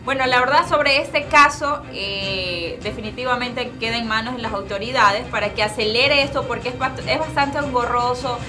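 A young woman co-hosting a TV studio show, explaining her views in a measured way with some hesitations. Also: on the high side. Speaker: TV co-host. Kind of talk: studio commentary. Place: TV studio set with sofa. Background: background music.

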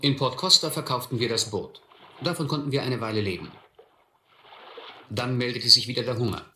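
Very bright-voiced reflective storyteller. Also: male voice, mid-range voice, roomy sound, slight background noise. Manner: measured, literary storytelling. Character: reflective man recalling his youth as a runaway. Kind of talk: first-person scripted narration in German radio play.

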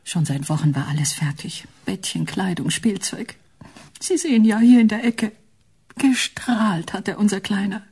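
An actress playing a sentimental, anxious, elderly wife of little means in a radio drama. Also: female voice, medium pitch, moderate pace, a little mellow, slightly rough. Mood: fond. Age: older.

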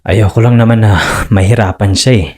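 A man's voice: on the low side, fairly even intonation, a little fast, a little mellow, no echo, studio quality, lightly acted. A man playing a fisherman husband and father who speaks hesitantly in a radio drama. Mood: troubled. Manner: hesitant, stammering. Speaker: voice actor. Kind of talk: radio drama acting and narration.

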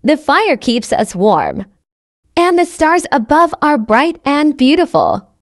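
A woman with a high, lively voice narrates a simple story for language learners. She enunciates each phrase carefully, as a scripted teaching read. The sound is dry and close, without room echo. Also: slow. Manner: carefully enunciated, repeats sentences identically. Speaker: narrator of English learning stories.

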